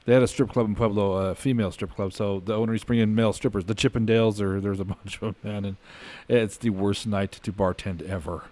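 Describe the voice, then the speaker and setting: A man's voice: fairly low pitch, fairly even intonation, fast talker, hardly any pauses, warm tone. A middle-aged man hosting a podcast, telling crude jokes and laughing often in casual banter. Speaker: podcast host. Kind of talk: casual chat.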